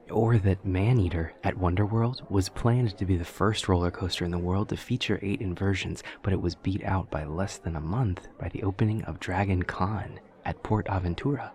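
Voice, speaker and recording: A man playing an awkward, literal-minded demon college student in an audio roleplay, speaking in a halting, formal, earnest way. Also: low-pitched, a little mellow, natural voice. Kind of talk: roleplay audio drama acting.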